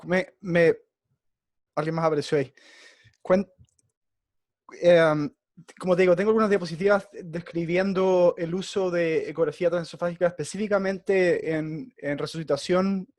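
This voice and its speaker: Halting Chilean man, slightly high voice. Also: steady tone, darkish tone, dry recording, studio quality. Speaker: guest speaker, emergency physician. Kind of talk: semi-formal video call talk. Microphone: video call.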